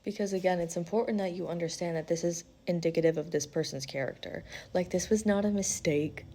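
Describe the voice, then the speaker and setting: A woman's voice: fairly low pitch, brisk, mellow. A woman host giving a casual spiritual reading in a blunt, sweary, conversational way, animatedly acting out quotes as she goes. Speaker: tarot-style spiritual reader and host. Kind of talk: casual intuitive reading, chatty advice.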